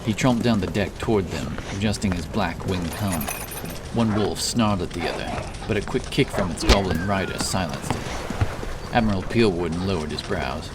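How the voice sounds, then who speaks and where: Casting: male epic fantasy narrator, low voice, deliberate and dramatic, set back from the mic under loud music. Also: distant mic, very noisy. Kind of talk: scripted fantasy audiobook narration.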